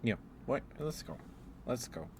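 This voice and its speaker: A man livestreamer chatting casually in an ASMR stream, rambling in a hesitant, unhurried way. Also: noisy background.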